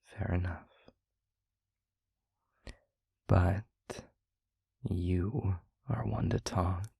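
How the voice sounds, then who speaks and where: A tender demon lover acted by a man murmurs very slowly, up close in a dry, intimate audio roleplay. The voice is breathy and very husky, and the phrasing is eloquent and florid, with a wry, devoted temper. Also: low voice, level tone, mellow, dry recording, full character voice. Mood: wry, playful. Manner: slow, florid, deliberate phrasing.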